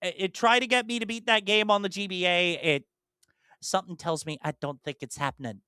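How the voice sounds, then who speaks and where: A man's voice: high voice, steady tone, brisk, sharp tone, smooth, no room sound, clean studio sound. A young man and podcast co-host chatting casually about video games, rambling through detailed explanations with many ums and restarts.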